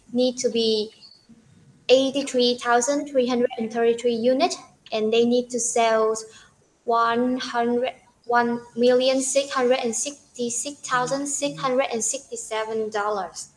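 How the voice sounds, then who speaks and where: A woman reading out figures in a student presentation, hesitating now and then. Her voice sits fairly high. She sounds echoey, with faint noise behind her. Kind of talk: class presentation.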